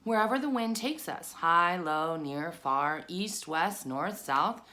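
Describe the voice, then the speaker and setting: A woman's voice: on the low side, animated, slow, pushed and bright, heavily acted. A woman voicing a tiny young female spider, eager, friendly and chirpy, in a children's storybook read-aloud. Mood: eager, adventurous.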